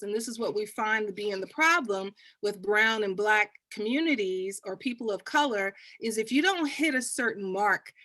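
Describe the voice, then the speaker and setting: A Texan woman moderates a panel, her bright, forceful voice full of upbeat encouragement for her guests. The sound is dry and close, with no room around it. She talks like someone rallying a crowd. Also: mid-range voice, no room sound. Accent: Texas. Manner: upbeat, hype-building, cheering on guests.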